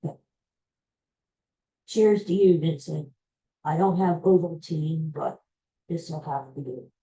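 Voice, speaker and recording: A woman's voice: sits low, slow, mellow. A woman hosting a podcast on her own, giving rambling, folksy life advice with many restarts and calling her listeners folks. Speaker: podcast host. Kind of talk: casual solo podcast monologue.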